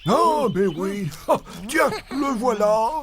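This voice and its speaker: Scatterbrained, hearty cartoon courier, very slow delivery, voice swooping up and down. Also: mid-range voice, lively intonation, crowd noise. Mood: jolly, flustered. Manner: hearty, exclaiming, chuckling. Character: loud, jolly, scatterbrained cartoon delivery character. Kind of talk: children's cartoon dialogue.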